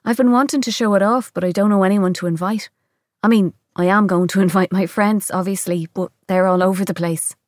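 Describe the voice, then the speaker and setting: A woman's voice: medium pitch, steady tone, brisk, no echo, studio quality, close to an everyday voice. Woman reading as a playful, wry young woman. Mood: playful, teasing. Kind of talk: audiobook-style novel reading.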